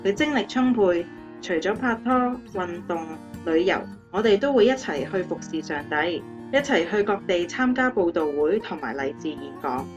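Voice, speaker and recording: An actress playing an adoring young fiancée in a radio drama interview, earnest and affectionate. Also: female voice, lowish voice, animated, normal speed, hardly any pauses, highs cut off, lightly acted. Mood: admiring, happy. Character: adoring, happy young fiancée of a motivational speaker. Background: guitar music.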